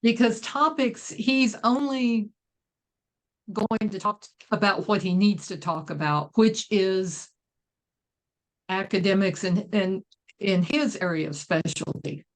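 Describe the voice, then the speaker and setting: A woman's voice: medium pitch, takes it slowly, poor audio. A woman speaking casually as a guest author, discussing her own writing.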